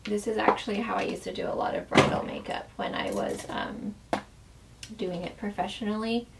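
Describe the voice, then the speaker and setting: A woman's voice: mid-range voice, sing-song, normal speed, vocal fry, recorded from a distance, background noise. A woman chatting through a makeup tutorial as a former makeup artist, talking in a casual way full of fillers, losing her voice so that it often cracks.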